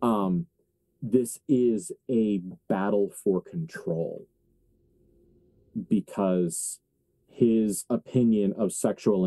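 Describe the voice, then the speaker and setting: A man acting as game master, narrating a tabletop horror roleplaying game in deliberate, halting phrases. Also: deep voice, warm tone, dry recording.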